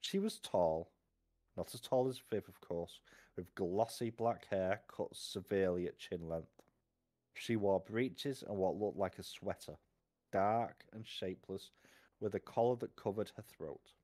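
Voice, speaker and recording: A man with a low voice reads a fantasy novel aloud for a live stream. He takes his time over the sentences, occasionally tripping on a word and putting it right. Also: dark tone. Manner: unhurried narration with occasional stumbles and self-corrections.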